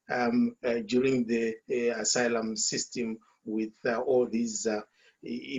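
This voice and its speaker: Shona-speaking man from zimbabwe, lots of uh and um, middle pitch, muffled call audio. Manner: halting, many fillers and repeated words. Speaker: author. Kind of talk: speaking at an online launch. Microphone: video call.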